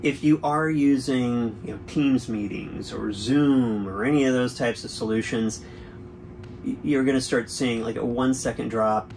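An easygoing man in his forties talks through a product comparison from inside a boat cabin, picked up by a camera a short way off. His voice sits at an ordinary middle pitch, and he explains things loosely with plenty of fillers. A clear bed of background noise runs under him. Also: normal speed, darkish tone, rough recording. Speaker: boating channel host. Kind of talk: casual product review.

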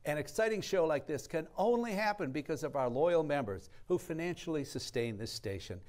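A man's voice: a little high, animated, a little fast, a little mellow. A man in his sixties co-hosting a live TV pledge show, presenting upbeat and emphatic like an announcer. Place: TV studio kitchen.

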